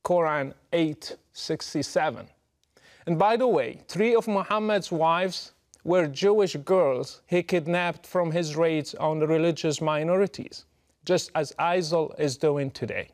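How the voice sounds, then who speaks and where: A middle-aged man from Morocco, giving a prepared, deliberate and emphatic address straight to the listener. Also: on the high side. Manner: measured, emphatic, deliberate delivery. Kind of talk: prepared direct address to camera.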